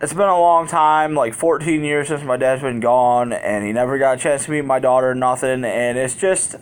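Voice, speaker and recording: A man with a mid-pitched voice talks casually to his viewers as a vlogger. He tells personal stories in a candid, unpolished way. His wording is colloquial and he tends to ramble from one thought to the next. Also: normal speed, smooth. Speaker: YouTube vlogger.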